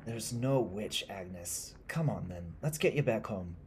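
Village woodcutter, played by a male narrator: gruff, uneasy, blunt and exclamatory, for an audio horror story. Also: fairly low pitch, lively intonation, unhurried, background noise, voice pushed up. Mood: reassuring. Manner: blunt, exclamatory, nervously stammering.

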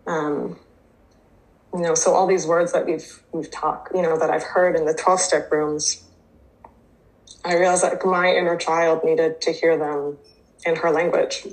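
Woman, native Hebrew speaker, low voice, hesitant reflective share. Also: level tone, measured pace, pauses often, low-quality recording. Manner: hesitant, many fillers and restarts. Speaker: twelve-step program member sharing. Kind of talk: reading aloud, then personal recovery share.

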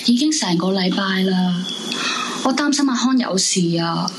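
A woman's voice: medium pitch, expressive, moderate pace, background noise, lightly acted. An actress playing an anguished, sleepless young woman left by her boyfriend, emotional and pleading, in a Cantonese radio drama. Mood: worried, weary. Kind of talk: Cantonese live radio drama acting with narrated monologue. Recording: music behind the voice. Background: background music.

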